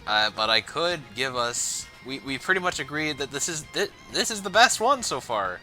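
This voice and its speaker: A soft-spoken male co-host who mostly agrees and hesitates before he speaks. His voice has a very bright, sharp edge, with faint music underneath. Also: a little high, big pitch swings, normal speed, rough recording. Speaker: podcast co-host. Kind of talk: casual chat.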